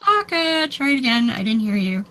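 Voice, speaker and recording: A woman's voice: on the high side, big pitch swings, normal speed, fairly bright tone, rough recording. A Canadian woman in casual group chat on a video call, laughing often and tripping over her first words. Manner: laughs often, repeats words when starting. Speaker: discussion participant.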